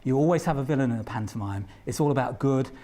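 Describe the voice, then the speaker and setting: A man's voice: mid-range voice, fast, warm tone. A man giving an explanatory interview, speaking emphatically and repeating phrases for stress. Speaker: pantomime commentator.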